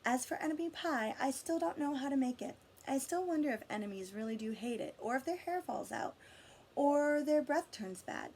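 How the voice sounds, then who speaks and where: A woman with a fairly high voice reads a children's story aloud in an expressive way. She addresses young listeners directly, with questions and guidance. There is noticeable noise in the recording. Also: normal speed. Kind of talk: expressive picture-book read-aloud.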